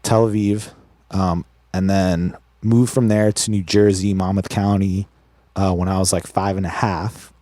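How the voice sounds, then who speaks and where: Young man, from Israel, very low voice, dark mellow tone, relaxed storytelling, dry sound. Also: no room sound. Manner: relaxed, unhurried storytelling with fillers. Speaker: guest musician. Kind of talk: casual interview.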